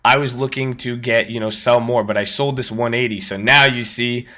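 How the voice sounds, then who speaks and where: A man with a raspy voice, just over an illness, talking casually as host of a trading channel. He rambles and repeats himself as he walks through his screen. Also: mid-range voice, fairly even intonation, moderate pace, pushed and bright. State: recovering from illness. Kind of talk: casual screen-share explanation.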